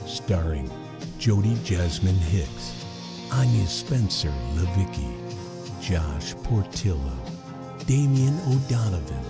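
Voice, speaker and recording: A man's voice: low voice, animated, slow pace, creaky. A man reading scripted credits as the announcer of an audio drama. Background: loud guitar music.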